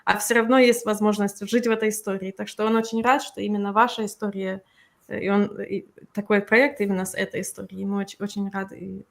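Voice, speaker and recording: A woman's voice: mid-range voice, flat delivery, fast, vocal fry. Conversational woman presenting at an event. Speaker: presenter and interpreter at a book discussion. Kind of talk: informal live presentation and interpreting.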